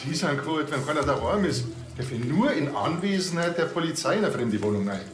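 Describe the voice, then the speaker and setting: An actor playing a chatty apartment-block caretaker in a crime comedy, colloquial and rambling, with a Bavarian accent. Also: male voice, fairly high pitch, lively intonation, brisk, noisy background, close to an everyday voice. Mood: indignant.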